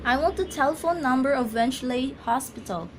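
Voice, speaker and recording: A very high-pitched, nervous invalid wife acted by a woman in an amateur radio play. She is demanding and emotional, full of complaint. The recording has clear background noise. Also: moderate pace, natural voice. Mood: anxious, pleading. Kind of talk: amateur radio drama acting.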